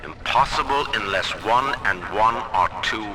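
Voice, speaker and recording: An actor playing a stern, proud rationalist city founder in a game, debating in an emphatic oratorical manner. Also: male voice, on the low side, expressive, unhurried, bright, forceful tone, a little hiss or hum, rough recording. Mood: scornful, certain. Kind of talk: staged debate speech in a game.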